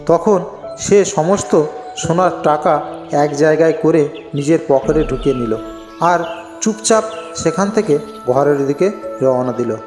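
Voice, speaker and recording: A male narrator tells a cartoon tale in a slightly high voice. The recording is echoey with a long reverb tail, and soft music runs underneath. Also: unhurried, pauses often. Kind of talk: scripted storytelling narration for a cartoon. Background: faint music.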